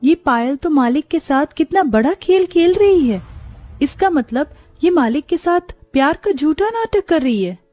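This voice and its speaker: A woman's voice: high voice, lively intonation, a little slow, warm tone, no echo, soft background music, pitched above the performer's own voice. A woman voicing a loyal, anxious young servant woman in a moral story, earnest and deferential. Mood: shocked, worried.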